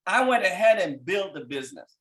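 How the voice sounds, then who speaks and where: A man's voice: high-pitched, very animated, a little fast, poor audio. A man in his thirties from Haiti with a thick Haitian accent, giving a fervent motivational talk to people on a call. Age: 30s. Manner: fervent, rising to shouts at peaks. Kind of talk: motivational speech.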